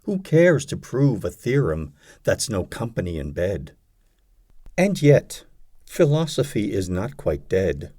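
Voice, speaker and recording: A man reading poetry aloud as an audiobook, in a dramatic recitation with measured, deliberate phrasing and pauses. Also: fairly low pitch, very animated, warm tone, slightly rough. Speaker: audiobook reader.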